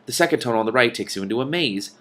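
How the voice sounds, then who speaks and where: A man's voice: medium pitch, lively intonation, rapid-fire, fairly bright tone, natural voice. A man voicing a matter-of-fact female dragon from a storybook, explaining things with dry, scornful authority.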